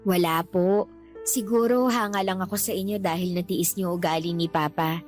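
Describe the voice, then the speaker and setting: A woman's voice: lowish voice, steady tone, normal speed, close to an everyday voice. A woman playing an outspoken, aggrieved young adult daughter in a Filipino radio drama, with an earnest, complaining delivery. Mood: hurt, doubtful. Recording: faint music behind.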